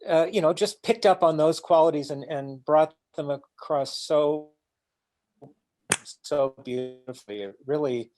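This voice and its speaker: A man's voice: a little high, darkish tone. A middle-aged man, a playwright, speaking casually and hesitantly in a discussion on a video call. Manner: hesitant, halting. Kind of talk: casual post-show discussion. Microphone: laptop video call.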